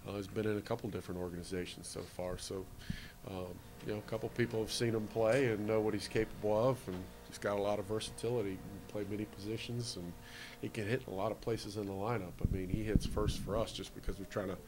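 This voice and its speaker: Low-voiced Canadian man, matter-of-fact. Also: fast, hardly any pauses, slight background noise, poor audio. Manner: steady, matter-of-fact, plain-spoken. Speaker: baseball manager. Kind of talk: postgame press interview. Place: indoor clubhouse hallway. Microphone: handheld reporter mic.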